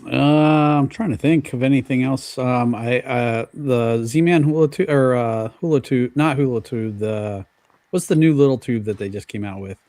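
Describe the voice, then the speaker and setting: A man's voice: mid-range voice, moderate pace, warm tone, no room sound. Dry-sounding, hesitant American man. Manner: hesitant, searching for words. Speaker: fishing podcast panelist. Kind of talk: casual anecdotal chat.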